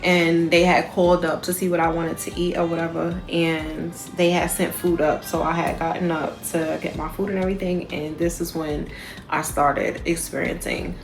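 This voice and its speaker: Chatty low gravelly woman, music beneath. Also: flat delivery, normal speed. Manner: rambling, chatty, loose with fillers. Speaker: travel nurse. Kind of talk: casual vlog diary. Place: hotel room. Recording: music playing under the voice.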